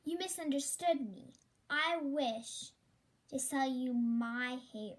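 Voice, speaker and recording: A woman's voice: high-pitched, slow. A girl of about ten playing an earnest, determined young married woman in a school radio play. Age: child of about ten.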